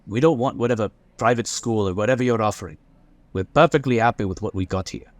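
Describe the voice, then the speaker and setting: Man doing a gruff protective dad, low voice, dry close sound, audio drama. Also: fast talker, no echo, lightly acted. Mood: hostile. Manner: gruff, hostile, suspicious. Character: defensive, protective working-class father.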